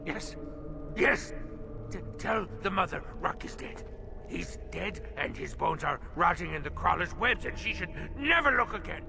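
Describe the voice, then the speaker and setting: High-pitched, half-mad scavenger hermit. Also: male voice, lively intonation, unhurried, gravelly, over music, heavily acted. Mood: cringing, haunted. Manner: stammering, repetitive, muttering in broken third person. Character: half-mad, frightened, cringing scavenger hermit living in dark tunnels. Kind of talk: video game character acting.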